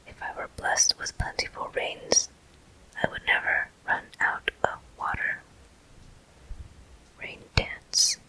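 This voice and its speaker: A person whispering ASMR game commentary in a deliberate manner, pausing between short phrases. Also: pushed and bright, low-quality recording. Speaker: gameplay host.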